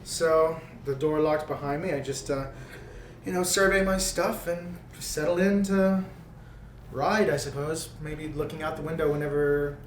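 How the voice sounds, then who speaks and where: A man's voice: fairly high pitch, steady tone, a little slow. A man playing a tabletop roleplaying game, speaking casually as his character and describing his actions, recorded with roomy echo and some noise.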